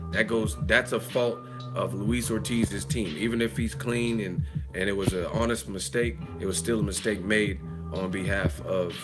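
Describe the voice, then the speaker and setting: A lower-voiced man with a hoarse, worn-out voice, talking loosely and profanely on a live stream. He rambles and circles back with lots of fillers while music plays clearly behind him. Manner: rambling, loose and profane, with many fillers like "you know what I mean". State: hoarse, losing his voice. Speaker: boxing commentary host on a live stream. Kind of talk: casual live-stream commentary.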